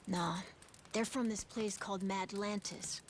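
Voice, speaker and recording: A woman's voice: medium pitch, steady tone, unhurried, slight background noise, close to an everyday voice. A woman voicing a restless, eager young island boy with an American accent and casual speech in a video game cutscene. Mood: casual, explaining. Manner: casual, youthful talk. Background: game music.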